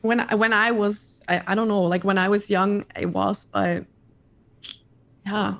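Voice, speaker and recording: A woman in her thirties from the Netherlands with a Dutch accent, chatting as an interview guest, lively and repeating her words. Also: mid-range voice, a little slow, vocal fry. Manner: animated, repeats words, laughs often. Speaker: guest streamer. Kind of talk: casual interview chat.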